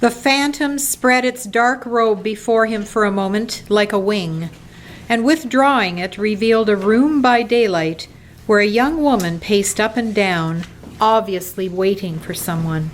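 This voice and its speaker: A woman narrating a radio play in a measured, deliberate storytelling style. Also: medium pitch, lightly acted, poor audio.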